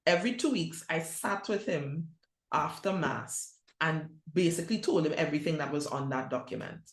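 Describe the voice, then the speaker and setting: A middle-aged woman with a Trinidadian accent leads a conference session on youth ministry. Her voice sits fairly low, and she talks in a conversational, direct way, tossing out rhetorical questions as she goes. The sound carries a noticeable room echo. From Trinidad and Tobago. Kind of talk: conference presentation.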